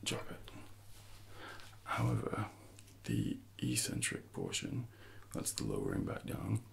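Hushed, gravelly man doing ASMR coaching. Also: extremely low pitch, huge pitch swings, slow, slight background noise. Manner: hushed, often dropping into whispers, instructive. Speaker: ASMR performer playing a personal trainer. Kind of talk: soft-spoken ASMR roleplay.

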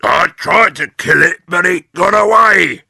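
A man's voice: sits high, measured pace, gravelly, bright, forceful tone, no echo, clean studio sound, pitched above the performer's own voice, full character voice. A man doing a sneering grumpy old man.

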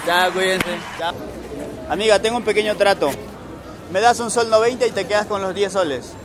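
A man's voice: high voice, normal speed. A young man filming a street challenge vlog at night on a busy city street, coaxing strangers with an upbeat manner and laughing often. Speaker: street vlogger. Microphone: handheld camera following him. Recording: crowded street noise. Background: crowd chatter and passing traffic.

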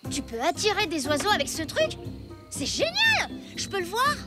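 A woman voicing a lively, playful young village boy in a children's cartoon, eager and exclamatory. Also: extremely high pitch, normal speed, heavily acted. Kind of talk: French children's cartoon voice acting. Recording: loud music behind the voice.

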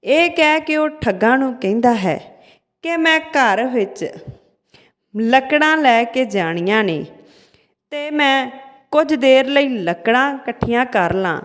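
A woman narrating a scripted folk tale as a storyteller, with deliberate, dramatic phrasing and pauses, in a heavily reverberant recording. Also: high-pitched, lively intonation. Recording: long hall-like reverb.

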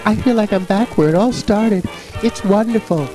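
A woman's voice: medium pitch, normal speed, over music, lightly acted, low-quality recording. A woman playing a genteel, delighted puppet lady of the vaudeville stage in a staged interview. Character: cheerful vaudeville puppet lady, Mama, fondly reminiscing.

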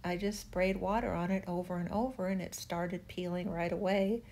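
An older woman narrating her furniture restoration in a relaxed, chatty way, gently enthusiastic about the wood. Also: fairly low pitch, normal speed. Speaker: furniture refinisher.

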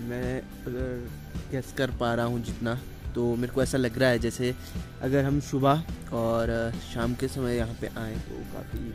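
A man in his twenties talks casually to his vlog audience outdoors. His delivery stays fairly flat in range at an average male pitch, with clear music running underneath. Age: 20s. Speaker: vlogger. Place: outdoors by a lake. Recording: music behind the voice.

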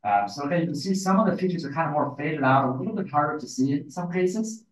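Male demonstrator, slightly high voice, quick and plain, recorded off-mic in a roomy space. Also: lively intonation, distant mic. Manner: quick, plain explaining with fillers. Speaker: guest demonstrator. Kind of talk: live software demonstration.

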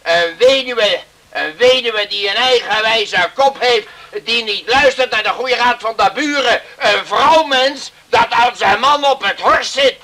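An actor playing an angry, stubborn middle-aged farmer in a period radio play, scolding in a harsh, insistent voice. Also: male voice, very high voice, a little slow, sharp tone, heavily acted. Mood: scornful. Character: angry stubborn island farmer father, contemptuous and bellowing.

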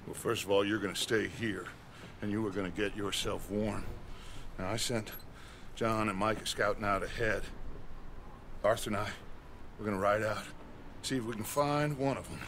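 A man playing a charismatic middle-aged American outlaw gang leader in a video game, speaking like an orator with emphatic pauses. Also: fairly low pitch, steady tone, gravelly, a bit bright, slight background noise. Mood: reassuring, firm. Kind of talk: dramatic video game acting.

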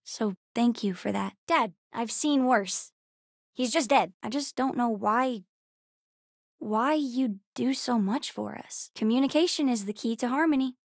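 A woman voicing a bright, bookish, spirited young girl in a video game, talking in an earnest, chatty, childlike way. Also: a little high, unhurried, gravelly, no room sound, studio quality. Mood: subdued, earnest. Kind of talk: acted video game character dialogue.

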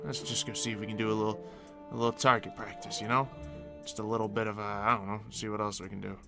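A man's voice: on the low side, flat delivery, fast talker, creaky, music in the background. A man hosting a game video with playful improvised roleplay, in a jokey, rambling way with mumbled asides.

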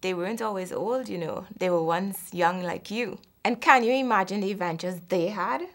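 Female children's author, thirties, medium pitch, friendly address to kids. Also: normal speed, fairly bright tone. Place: indoor studio set, seated.

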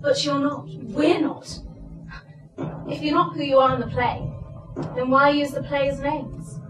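An insistent, fatalistic young woman played by an actress on stage, explaining emphatically in a high voice. The room echoes around her. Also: steady tone, a little slow, noisy background. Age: young adult. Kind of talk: theatrical stage acting. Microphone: distant camera microphone. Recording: roomy stage, distant mic, background sound.

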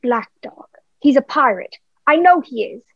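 A woman's voice: high-pitched, expressive, a little slow, no echo, lo-fi sound, full character voice. A woman playing an eager, polite young boy in a radio play. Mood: urgent.

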